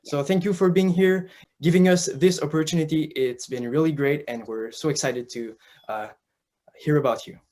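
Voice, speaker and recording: A man's voice: on the high side, fairly even intonation, normal speed, darkish tone. Young man politely hosting a student interview. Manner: polite, slightly hesitant with fillers. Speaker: student co-host. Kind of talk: scripted interview questions.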